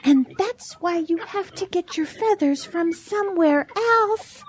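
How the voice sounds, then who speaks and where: A woman voicing a gentle, pious chicken in an audio drama, speaking in an earnest, patient, preachy way. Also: very high voice, lively intonation, unhurried, slight background noise. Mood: concerned, firm.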